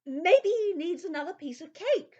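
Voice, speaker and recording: A woman's voice: very high-pitched, animated, normal speed, a little mellow, dull audio. A woman voicing the smallest horned monster, timid and sweet, in a children's audio play. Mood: hopeful.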